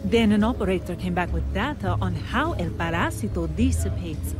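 A woman's voice: lowish voice, expressive, normal speed, natural voice. A woman with a Spanish accent playing an earnest, science-minded engineer in a video game. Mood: eager, fascinated. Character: earnest young female engineer, curious and passionate about science. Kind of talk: cinematic game acting. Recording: music behind the voice.

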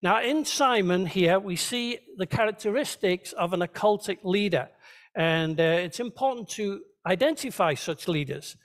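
A man's voice: high-pitched, animated, normal speed. A man preaching a sermon as a pastor, teaching conversationally and checking in with his listeners. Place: church, speaking to a congregation.

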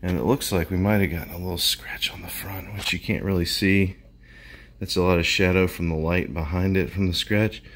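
A man's voice: deep voice, fairly even intonation, a little fast, a bit bright. An American man giving casual, folksy vlog commentary as the host of his own video. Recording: clear background noise.

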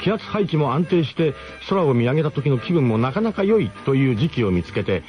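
An actor playing a brash, mock-grandiose expedition leader who narrates a comic radio drama in pompous formal phrasing. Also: male voice, medium pitch, very animated, a little fast, a little mellow, faint music behind, lightly acted. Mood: mock-serious, lecturing.

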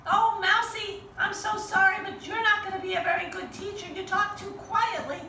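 A bossy girl puppet, voiced by a woman, with a very high, bright voice. She instructs and corrects bluntly, a bit exasperated, in a children's puppet show recorded in a roomy space. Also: little pitch movement, moderate pace. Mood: instructive. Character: bossy, frank girl puppet in charge of auditions. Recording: roomy, distant mic, faint noise.

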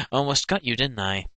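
A man's voice: mid-range voice, sharp tone, dry recording. A man voicing a petulant, theatrical evil vampire priest in a parody cartoon. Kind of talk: fan-dub parody cartoon acting.

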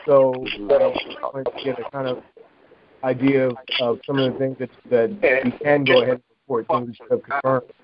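Halting man on a noisy phone line. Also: mid-range voice, little pitch movement. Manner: polite, halting. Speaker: caller, news website operator. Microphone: telephone.

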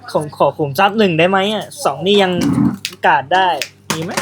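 A man's voice: sits high, moderate pace, fairly bright tone. A man playing a card game in a comic shop, joking and calling out his moves. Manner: jokey, laughing often. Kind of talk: casual banter during a card game.

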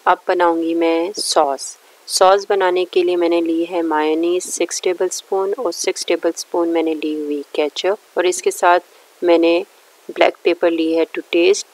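A woman's voice: lowish voice, level tone, measured pace, a little mellow, rough recording. A woman narrating a recipe step by step in a plain, instructional way. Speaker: cooking show host.

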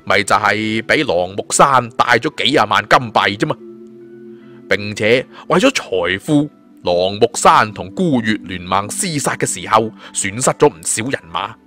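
A man's voice: fairly low pitch, lively intonation, unhurried, pushed and bright, faint music behind. A man with a Cantonese accent narrating a fantasy audiobook in a colloquial, dramatic storyteller's manner.

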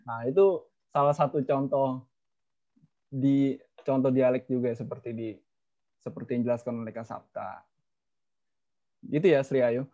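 A young man hosts a student webinar over a video call, joking and chatty as he hands out questions. His voice sits at an ordinary middle pitch. The call audio is dull and lo-fi. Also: steady tone, moderate pace, pauses often, warm tone. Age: young adult. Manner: chatty, jokey, teasing. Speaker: webinar moderator. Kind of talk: casual moderating on a video call.

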